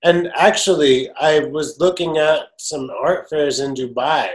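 A man's voice: fairly high pitch, fairly even intonation, measured pace, roomy sound, rough recording. A middle-aged man learning Chinese on a video call from his home study, asking short, curious questions. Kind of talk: casual language lesson on a video call. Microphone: video call, webcam mic.

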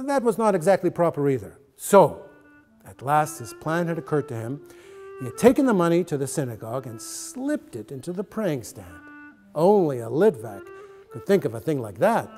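Animated older man reading a story, high-pitched. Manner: emphatic, dramatic pauses and repetitions. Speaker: actor reading a short story aloud. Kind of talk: theatrical story reading, dramatic. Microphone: lapel mic.

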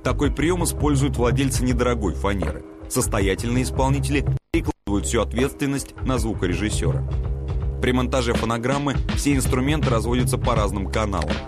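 A man reading scripted documentary narration in a measured, explanatory way. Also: mid-range voice, over music.

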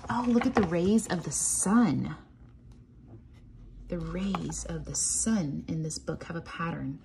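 Female children's teacher, lowish pitch, encouraging and questioning read-along delivery, faint room noise. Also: slow, gravelly, darkish tone, poor audio. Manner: encouraging, questioning, chanting patterns aloud. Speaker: teacher reading a picture book to children. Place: indoors at a table.

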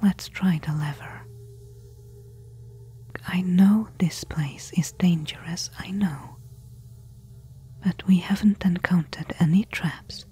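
A woman playing a bold, companionable desert treasure hunter in a soft-spoken ASMR roleplay, hushed and coaxing in a breathy whisper. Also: on the low side, slow pace, pauses often, full character voice. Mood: persuasive, determined.

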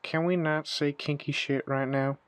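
A man's voice: fairly high pitch, fairly even intonation, moderate pace, dry recording, close to an everyday voice. An irreverent young shifter, played by a man in an audio drama. He is flippant and full of wisecracks. The sound is dry, with no room on it. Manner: flippant, joking. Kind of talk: audio roleplay drama acting.

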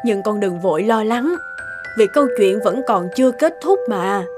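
A woman voicing a gentle, encouraging cartoon mother in a soothing, affectionate, expressive manner, with music behind her. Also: mid-range voice, normal speed, natural voice. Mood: tender, reassuring. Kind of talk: cartoon dubbing.

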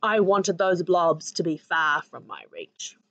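Expressive New Zealand woman reading a storybook. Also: lowish voice, slow, lo-fi sound. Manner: expressive, sing-song rhyming delivery. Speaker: author reading her picture book aloud. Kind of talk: storybook read-aloud for children. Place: living room at home. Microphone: webcam at arm's length.

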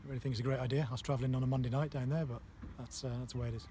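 Man, 30s, football manager, flat delivery, halting speech, outdoor noise behind. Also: medium pitch. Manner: halting, many fillers and restarts. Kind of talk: post-match interview. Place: outdoors on a floodlit football pitch at night.

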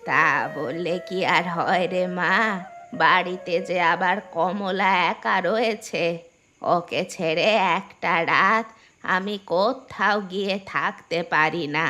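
A woman's voice: lowish voice, takes it slowly, pushed and bright, soft background music. A woman voicing a shrewd, plain-spoken older village aunt in a cartoon fairy tale, with a folksy, scolding manner. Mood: familiar, concerned.

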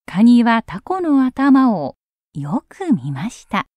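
A woman narrating a children's story in an expressive storytelling style. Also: fairly high pitch, slow pace, dry recording.

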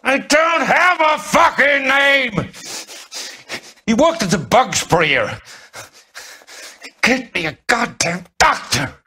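A man's voice: extremely high pitch, big pitch swings, slow pace, long pauses, sharp tone, husky, heavily acted. An actor playing a wounded, foul-mouthed crooked businessman in a video game, snarling and pleading in agony. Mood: desperate, bitter. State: in agony, crying out. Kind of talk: dramatic video game acting.

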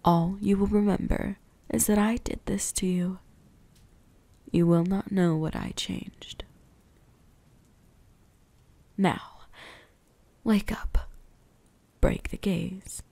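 A woman voicing a possessive, teasing vampire huntress in an ASMR roleplay, breathy and whispery, with a deliberate, softly menacing delivery. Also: mid-range voice, steady tone. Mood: hypnotic, commanding.

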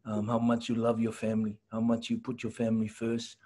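A middle-aged man hosting an interview over a video call from home, encouraging and quick to affirm his guest. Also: on the low side, completely flat delivery, warm tone. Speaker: host. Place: home room. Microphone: laptop webcam video call.

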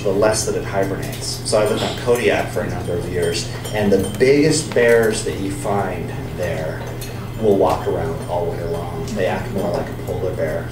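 A man giving a public science talk and answering audience questions in a relaxed, explanatory way. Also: low-pitched. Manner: relaxed, explanatory, with some restarts. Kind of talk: lecture and audience Q&A. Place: bar or pub venue with an audience. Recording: echoey, distant, noisy room.

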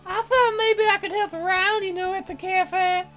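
Man doing a very high, shy young woman. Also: fairly even intonation, normal speed, sharp tone, faint music behind. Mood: flustered. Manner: falsetto, hesitant. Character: shy young woman musician, flustered and earnest.

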